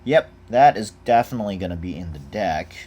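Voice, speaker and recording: A man's voice: low voice, animated, fairly quick, warm tone. A man talking casually and off the cuff as he reviews trading cards, laid-back in manner, reading out text and giving brief verdicts. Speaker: card game unboxing vlogger.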